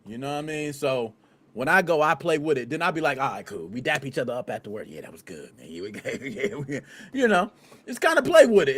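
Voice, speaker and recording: A man from the United States hosting a podcast, chatting casually in slangy, animated speech and acting out little scenes. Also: sits high. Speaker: podcast host.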